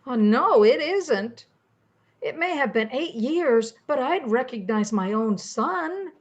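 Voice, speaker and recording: A woman's voice: high-pitched, animated, slow. An older woman playing a polite but firm grandmother in an amateur radio play. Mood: firm, doubting. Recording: slightly dull, lo-fi sound.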